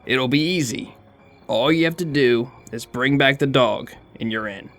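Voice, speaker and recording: Commanding young frat president. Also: male voice, mid-range voice, a little slow, fairly bright tone, faint music behind. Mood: smooth, cocky. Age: young adult. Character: commanding young male fraternity president in 1974. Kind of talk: audio horror drama acting.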